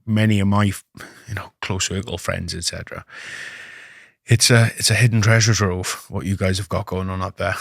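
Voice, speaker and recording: A man's voice: low voice, a bit bright, no room sound, clean studio sound. Chatty British man hosting a podcast. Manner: chatty, says "mate" often, repeats words. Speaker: podcast host. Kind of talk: casual interview chat. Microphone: video call.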